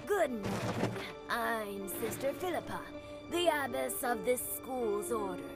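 Formal, high-voiced abbess. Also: female voice, lively intonation, unhurried. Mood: polite, official. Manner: polite, formal. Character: formal adult nun, abbess of a school order. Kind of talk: video game cutscene voice acting. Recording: loud music over the voice.

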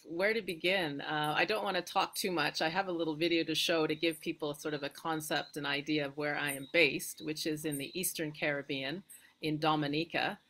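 A middle-aged Canadian woman speaks in a low voice. She is reflective and casual, pausing to find her words as she talks over a video call from an outdoor porch. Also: fairly even intonation, fairly bright tone. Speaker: guest, retreat owner and filmmaker. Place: outdoor wooden porch by a garden.

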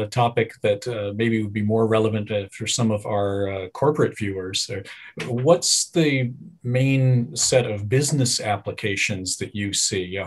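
Brief: husky, lowish middle-aged male host, halting delivery, lo-fi webcam audio. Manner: hesitant, many "uh" fillers. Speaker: interview host. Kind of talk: interview hosting. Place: room at home. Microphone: video call webcam. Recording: dull lo-fi video call audio.

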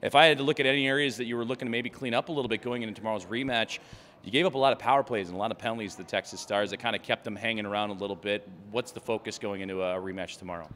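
A man's voice: fairly low pitch, very fast, a bit bright, a little hiss or hum. A man interviewing a coach after a hockey game, asking long, upbeat questions packed with stats and player names. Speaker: team broadcaster interviewer. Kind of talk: sports postgame interview.

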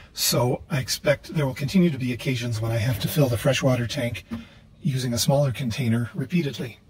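An older man calmly narrating a do-it-yourself build video in a measured, matter-of-fact way. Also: mid-range voice, a little hiss or hum, rough recording. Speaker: vlogger. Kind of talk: calm how-to narration.

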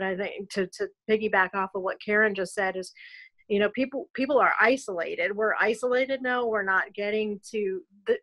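A woman's voice: mid-range voice, a bit bright, rough recording. Earnest woman explaining on a video call. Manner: earnest, explanatory, some restarts. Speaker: music school owner panelist. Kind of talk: video call panel discussion. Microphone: video call laptop mic.

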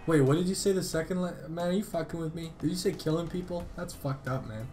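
A man's voice: fairly high pitch, fast, over music. A man chatting casually on a call, rambling in loose slang with plenty of swearing. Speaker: gaming video creator making a prank call. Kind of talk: casual phone chat.

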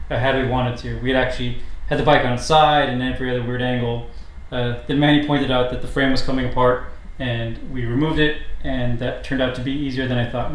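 Casual man in an echoey garage. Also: medium pitch. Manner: relaxed, matter-of-fact, frequent "uh" pauses. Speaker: motorcycle builder vlogger. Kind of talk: casual workshop narration. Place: hot home garage with a fan running. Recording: echoey garage, faint fan noise. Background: fan noise.

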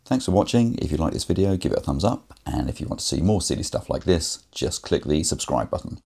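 A man's voice: extremely low pitch, expressive, a little fast, creaky, warm tone, breathy edge. A man in his forties casually walking through a build in his indoor workshop garage, explaining step by step with fillers. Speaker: maker presenting his build.

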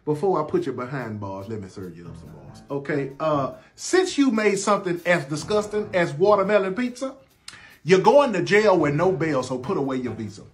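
Animated young man delivering rhymed verdicts. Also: normal speed, soft background music. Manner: swings from low muttered commentary to loud rhyming sentences. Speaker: comedy judge host. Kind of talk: comedic food review skit with rhymed verdicts. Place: small room dressed as a courtroom, indoors.

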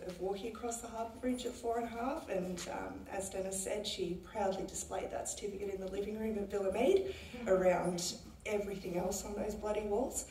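A woman's voice: medium pitch, a little mellow, a little hiss or hum. A young woman speaks casually at a chapel lectern, giving a eulogy for her grandmother with frequent fillers. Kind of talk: memorial eulogy. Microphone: lectern mic, heard from a far camera. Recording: roomy chapel echo from far off.

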